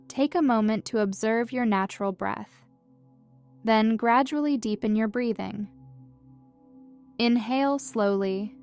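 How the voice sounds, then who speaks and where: A woman narrating a scripted guided meditation in a gentle, calm and soothing manner with measured instructions. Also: fairly high pitch. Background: music.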